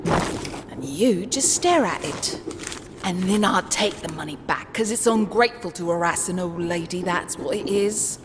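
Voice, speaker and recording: A woman's voice: on the low side, lively intonation, measured pace. A woman voicing an ancient, cantankerous London street woman who scolds and mutters in a comic dub, with a London accent. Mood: menacing. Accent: British, London. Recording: clearly noisy background.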